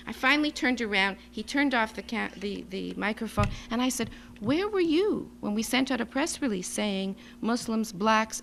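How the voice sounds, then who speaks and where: A woman gives a semi-improvised public speech as an advocate, making her points emphatically and deliberately in short phrases. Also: fairly high pitch, fairly bright tone. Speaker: advocacy group speaker at a symposium. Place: symposium venue. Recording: noisy live speech recording with hum.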